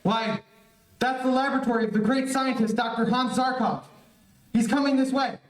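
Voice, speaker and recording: A man's voice: very high-pitched, fairly even intonation, moderate pace. Guy acting a heroic young athlete in an old radio serial, earnest, commanding, roomy and a bit far from mic. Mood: earnest, wary. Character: brave, protective young athletic hero. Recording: echoey stage, distant mic, live stream.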